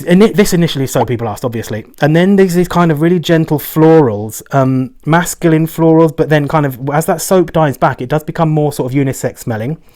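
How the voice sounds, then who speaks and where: A man talking casually on a fragrance review vlog, rambling along and often checking in with a quick "right?". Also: fairly high pitch, darkish tone.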